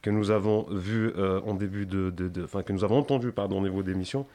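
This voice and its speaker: A young man with a somewhat low voice hosts a student radio program from a studio. He talks casually, stumbling often and correcting himself as he goes along. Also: steady tone, fast talker, dark tone. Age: young adult. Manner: hesitant, frequent fillers and self-corrections. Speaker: radio show host. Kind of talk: casual live radio hosting.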